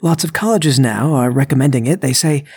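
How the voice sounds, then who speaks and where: A man voicing a nervous burglar in a tabletop game, halting and stammering as he bluffs and over-explains. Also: mid-range voice, darkish tone, breathy edge, pitched above the performer's own voice. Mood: bluffing, earnest.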